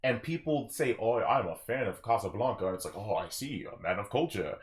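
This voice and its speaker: A man's voice: on the low side, lively intonation, fairly quick. A young man hosting a gaming podcast, talking casually and giving his opinions in rambling stories with acted-out asides. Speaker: podcast host.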